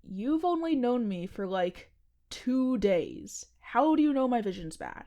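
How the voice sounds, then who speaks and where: A woman's voice: a little high, big pitch swings, a little slow, dark tone, lo-fi sound. A woman playing a chatty, earnest seventeen-year-old boy who hosts a podcast, casual and insistent, in an audio drama. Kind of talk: audio fiction podcast acting, conversational.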